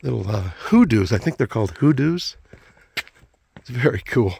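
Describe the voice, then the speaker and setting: A man in his sixties, out of breath and husky, chatting to himself in folksy exclamations as he vlogs outdoors on a phone. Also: mid-range voice, big pitch swings, normal speed, mellow, slight background noise. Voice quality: husky, gravelly. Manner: folksy, exclaiming, talking to himself in short bursts. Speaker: travel vlogger. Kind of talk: casual hiking vlog narration. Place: outdoors on rocky trail. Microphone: handheld phone at arm's length.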